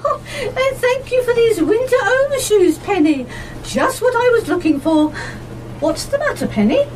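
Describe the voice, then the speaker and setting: A woman's voice: very high voice, very animated, moderate pace, a little mellow. A woman playing a helpful, curious, inquisitive friend in an amateur radio play. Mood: pleased. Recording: noisy live amateur radio broadcast recording.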